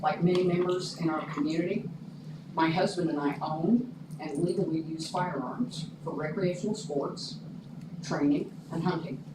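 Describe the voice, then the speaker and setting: A woman's voice: sits low, fairly even intonation, moderate pace. Woman reading a formal statement at a meeting. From Oklahoma. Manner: formal, reads a prepared statement. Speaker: public commenter. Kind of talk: prepared public statement. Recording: echoey room, distant mic, noisy.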